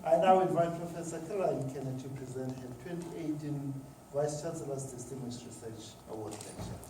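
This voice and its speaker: A man's voice: mid-range voice, fairly quick. A man giving a formal introduction as an academic host of a lecture. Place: echoey lecture room. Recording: echoey room, distant mic, clear background noise.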